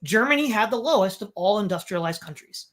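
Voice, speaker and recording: Woman podcast interviewer, mid pitch, rambly and opinionated, repeats stuff for emphasis. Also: lively intonation, brisk.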